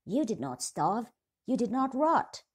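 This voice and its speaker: A woman shouting as high, strained little dwarf men. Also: big pitch swings, unhurried, slightly rough, heavily acted. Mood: insistent. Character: gruff small male dwarves, urgent and blunt.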